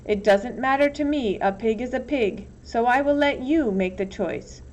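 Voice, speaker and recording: A woman's voice: on the high side, normal speed, a little mellow, natural voice. Woman playing a blustering storybook father. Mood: jovial, indifferent. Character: blustering, dismissive middle-aged peasant father. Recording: dull, lo-fi with some background noise.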